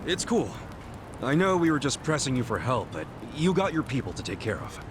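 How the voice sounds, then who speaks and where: Man as animated, big-hearted rough game hero. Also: mid-range voice, fairly quick, noisy background, natural voice. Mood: friendly. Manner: slangy, brash, earnest. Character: big-hearted, stubbornly optimistic, rough-talking male ex-convict hero. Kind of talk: dramatic video game voice acting.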